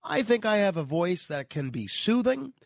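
A man's voice: sits high, very animated, normal speed, creaky, dry recording, clean studio sound. A man hosting conversational talk radio, his voice nasal and stuffed up with a cold. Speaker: radio host.

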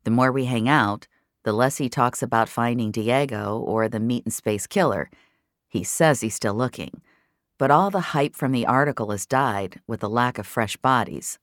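Very deep-voiced woman narrating, dry studio sound. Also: normal speed, no room sound. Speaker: narrator of an audio fiction series. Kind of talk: first-person scripted dramatic narration.

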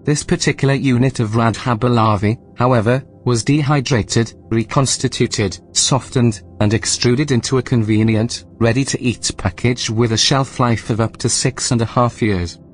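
An actor playing a composed, snide artificial intelligence running a station in a sci-fi audio drama, speaking in a precise, formal, deadpan way. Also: male voice, mid-range voice, moderate pace, hardly any pauses, soft background music, natural voice. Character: dry, snide male AI station supervisor, composed.

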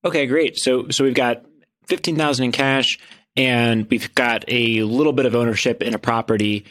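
A man hosting a financial interview, asking measured guiding questions. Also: mid-range voice, fairly even intonation, fairly bright tone. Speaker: financial advice host.

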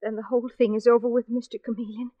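A woman plays an earnest young sweetheart in an old radio mystery. Her voice sits high and carries anxious, melodramatic feeling. The vintage recording has very little room sound. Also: little pitch movement, quick pace, no echo, dull audio, natural voice. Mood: sad, bewildered. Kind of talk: 1940s radio acting.

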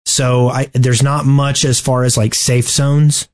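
A man co-hosting a podcast, talking through game strategy casually and haltingly as he thinks aloud. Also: medium pitch, little pitch movement, darkish tone. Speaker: podcast host.

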